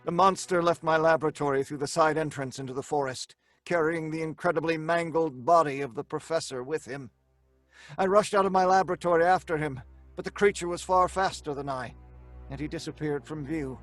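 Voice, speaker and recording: A man's voice: a little high, fairly quick, fairly bright tone, soft background music, natural voice. An actor playing a haunted, frantic scientist who tells his own tale in old-time radio horror style. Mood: grave, haunted. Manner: melodramatic, stammering when speaking in dialogue. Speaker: narrator and lead actor.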